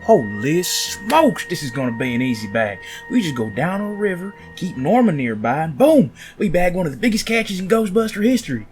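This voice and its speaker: A man's voice: on the high side, sing-song, moderate pace, few pauses, darkish tone, faint music behind. A man playing a brash, eager, folksy ghostbuster in an audio drama. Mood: cocky, excited. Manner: cocky, folksy. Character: brash, eager male ghostbuster leading the hunt.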